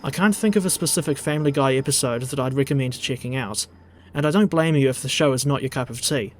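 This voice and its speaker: A man with a New Zealand accent reading a scripted narration in a dry, measured, digressive way. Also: mid-range voice, slight background noise. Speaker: video essay narrator.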